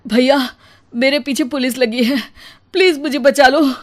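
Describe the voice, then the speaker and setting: A woman's voice: high-pitched, steady tone, unhurried, voice pushed up. A woman voicing a guilt-ridden younger brother in a cartoon moral story, pleading earnestly. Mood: desperate.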